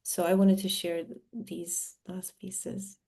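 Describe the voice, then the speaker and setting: A woman from Serbia presenting her own art in a talk, speaking in a searching way with frequent pauses and self-interruptions. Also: fairly low pitch, level tone, creaky, darkish tone. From Serbia, former Yugoslavia. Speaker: artist, interviewee.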